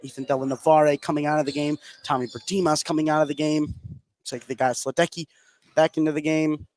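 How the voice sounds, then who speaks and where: A man's voice: fairly high pitch, level tone, fast talker, a little mellow, no echo. A man doing live play-by-play commentary of a basketball game for radio. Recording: clear background gym noise. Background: gym ambience of a live game.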